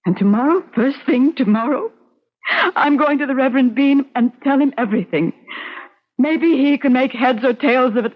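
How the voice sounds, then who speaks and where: A woman's voice: sits high, normal speed. A woman acting a frightened, indignant middle-aged wife in an old-time radio drama, flustered, stammering and prim. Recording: muffled old radio recording.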